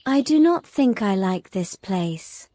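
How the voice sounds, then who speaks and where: A woman's voice: medium pitch, animated, slow pace, warm tone, dry recording. A woman playing a naive, curious mermaid in a fantasy audio drama, wondering and questioning in simple words. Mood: uneasy. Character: curious, naive mermaid new to the human world.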